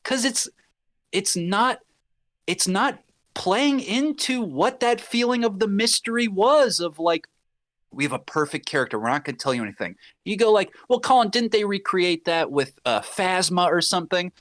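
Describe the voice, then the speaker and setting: A man's voice: sits high, moderate pace, no echo. A man in his thirties chatting casually and emphatically as a podcast guest, swearing freely. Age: 30s. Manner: animated, emphatic, profane. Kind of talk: casual chat.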